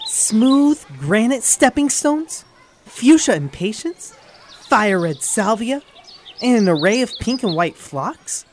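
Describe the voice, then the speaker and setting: An actor voicing an excitable, awestruck boy who talks aloud to himself in a scripted audio drama. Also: medium pitch, takes it slowly, slight background noise. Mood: wondering. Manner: exclamatory, talking aloud to himself. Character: excitable, awestruck boy, comic book fan.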